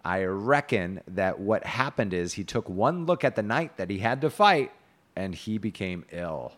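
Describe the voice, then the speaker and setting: A man's voice: on the low side, sing-song, a little slow, a bit bright. A man reading out a boastful, sarcastic knight from an old romance in a declamatory, mocking way.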